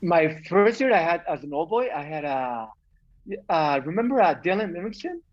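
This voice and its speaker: A man in his forties from Barcelona with a Catalan accent, chatting casually as a guest with halting restarts and repeated words. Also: fairly high pitch, very animated. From Barcelona, Spain. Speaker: podcast guest. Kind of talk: casual chat. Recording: muffled, dull lo-fi sound.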